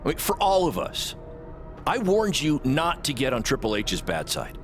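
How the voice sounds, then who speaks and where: An actor playing a worried, cautious wrestler friend in a video game, talking in a guarded way. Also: male voice, fairly high pitch, big pitch swings, normal speed, soft background music.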